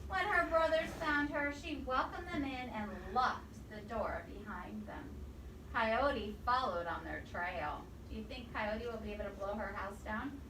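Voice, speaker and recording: A woman reading a picture book aloud to children, expressive and pausing to quiz her young listeners. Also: fairly high pitch. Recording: roomy echo, distant mic, faint noise.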